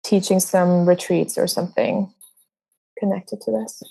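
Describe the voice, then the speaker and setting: A woman's voice: on the low side, steady tone, a little slow, vocal fry, warm tone, husky, low-quality recording. A woman guest on a podcast, talking tentatively about her plans. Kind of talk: casual chat.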